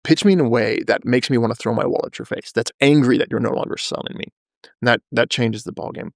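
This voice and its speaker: A man's voice: mid-range voice, fast talker, creaky, no echo, clean studio sound. A man hosting a podcast in a casual interview style, speaking bluntly and emphatically. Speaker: podcast host.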